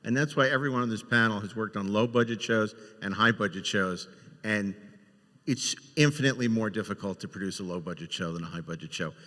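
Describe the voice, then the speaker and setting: A man moderating a live panel, brisk and directive as he runs questions. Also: on the low side, a bit bright. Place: large hall at a live convention panel. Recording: echoey hall with long reverb.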